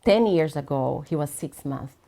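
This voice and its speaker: Guest, woman, 30s, Brazilian accent, Portuguese first language; earnest, low-pitched, plain English. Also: lively intonation, normal speed, gravelly, warm tone. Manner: earnest, simple non-native grammar. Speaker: interview guest. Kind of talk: casual interview chat.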